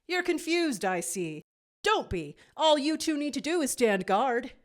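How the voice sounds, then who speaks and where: Casting: smug, theatrical dog schemer, a woman acting the male role, pompous and formal, pitched up with big swoops. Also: sing-song, measured pace. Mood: smug, condescending. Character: smug, theatrical male canine villain, wordy and condescending.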